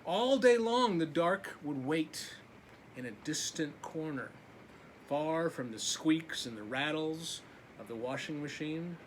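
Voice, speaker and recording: A man's voice: fairly high pitch, a little hiss or hum. A man reading a picture book aloud as a teacher, with simple asides to his young listeners.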